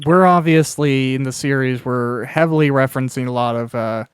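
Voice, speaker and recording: A man's voice: medium pitch, normal speed, clean tone, dry recording. A man hosting a casual, conversational interview while playing a video game, thinking aloud as he talks. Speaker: host, interviewer and producer of an audio drama.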